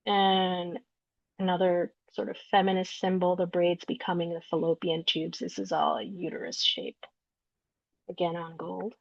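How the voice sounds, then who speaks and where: A woman from Peru, an artist, walking through slides of her work in an explanatory, unhurried way, searching for words. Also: lowish voice, level tone, gravelly, dry recording. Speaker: visual artist. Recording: dull lo-fi recording, dry.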